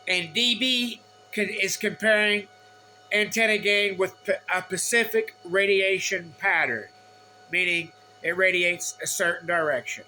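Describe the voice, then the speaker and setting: A man giving an informal technical explanation from notes, speaking haltingly in short, repeated phrases. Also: sits high, sharp tone, a little hiss or hum, low-quality recording. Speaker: explainer of radio antenna measurements.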